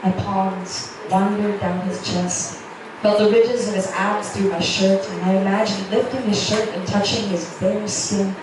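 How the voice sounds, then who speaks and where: A woman's voice: medium pitch, fairly even intonation, a little slow. A woman narrating a romance story in the first person as a dramatic literary reading. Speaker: narrator reading a romance novel excerpt aloud. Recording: roomy echo, distant mic, noisy background.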